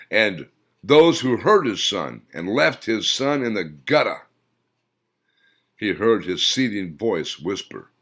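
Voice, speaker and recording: A man reads his own novel aloud as an audiobook narrator. His voice sits low and the intonation swings widely. The reading is expressive and dramatic. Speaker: author and narrator.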